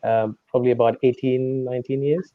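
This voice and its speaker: A man from India, a programme participant, speaking in a relaxed, easygoing way over a video call. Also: mid-range voice, a little fast. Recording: muffled video call audio.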